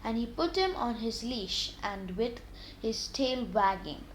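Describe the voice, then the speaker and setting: Female children's story reader, high-pitched, expressive and deliberate, scripted read-aloud delivery. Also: poor audio.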